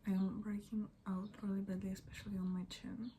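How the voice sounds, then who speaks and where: A young woman who is not a native English speaker, chatting in a soft, often half-whispered, rambling way as she does her makeup at home in front of a mirror. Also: mid-range voice, level tone, a little fast, a little hiss or hum. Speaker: beauty and book vlogger. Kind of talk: get-ready-with-me chat.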